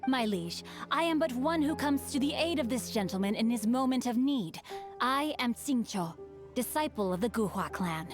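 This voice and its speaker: A woman's voice: on the high side, expressive, moderate pace, lightly acted. A woman voicing an earnest, chivalrous teenage boy swordsman in a video game, formal and grandiloquent. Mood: righteous. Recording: music under the voice.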